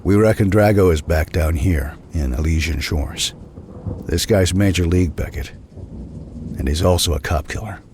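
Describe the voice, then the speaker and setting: A man playing a calm, authoritative police lieutenant in an audio drama, soft-spoken but firm, with a husky voice. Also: low-pitched, moderate pace, a little hiss or hum, natural voice. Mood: calm, persuasive.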